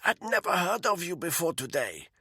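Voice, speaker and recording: A man voicing a captive woman spy who croaks and gasps for air as she is strangled. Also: on the low side, big pitch swings, moderate pace, pushed and bright, no echo, clean studio sound, pitched above the performer's own voice, heavily acted. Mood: strained, fearful. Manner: croaking, strained pleading. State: choking, gasping.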